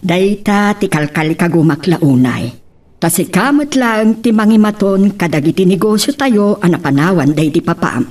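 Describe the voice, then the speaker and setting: Woman acting as cautioning mother, animated lowish voice. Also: normal speed. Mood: firm concern. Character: protective, cautioning mother advising her student daughter. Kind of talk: Ilocano radio-style drama acting.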